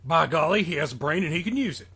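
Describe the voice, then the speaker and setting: A man's voice: on the high side, lively intonation, quick pace, sharp tone, slightly rough, full character voice. A man voicing an irritable, scheming professor villain from a wrestling parody story, with a taunting, barking delivery. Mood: gloating.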